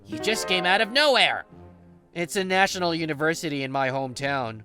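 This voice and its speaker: A man playing an easygoing, patient office senior in an English manga dub, with an expressive, conversational delivery. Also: fairly high pitch, brisk, faint music behind. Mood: friendly.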